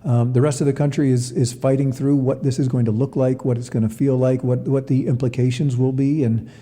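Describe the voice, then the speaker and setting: A man's voice: medium pitch, little pitch movement, fairly quick, dark tone. A man giving conversational interview answers as an athletic director, often repeating words as he explains. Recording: long reverberant room sound.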